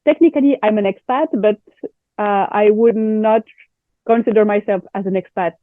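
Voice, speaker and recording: A woman's voice: medium pitch, normal speed, dry recording, phone-quality sound. Interview guest: French woman, native French speaker, slight cold, dry but band-limited radio-like sound. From France. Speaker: guest expert, baby planner. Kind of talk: conversational interview.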